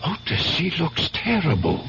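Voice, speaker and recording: An actor playing an earnest, blunt doctor, grave and emphatic, in a 1970s radio drama. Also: male voice, a little high, huge pitch swings, a bit bright, slight background noise, heavily acted. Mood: urgent concern. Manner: grave, emphatic, deliberate phrasing. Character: earnest, worried doctor, a man, blunt and insistent. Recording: dull, hummy old radio recording.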